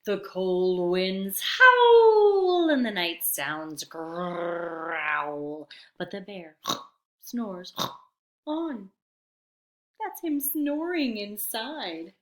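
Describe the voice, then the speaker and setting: Expressive woman reading to children, echoey room. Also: medium pitch, drawn-out words, long pauses. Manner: teacherly, expressive, explains words to children. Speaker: storytime reader for young children. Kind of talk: animated picture book read-aloud. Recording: roomy, echoey sound.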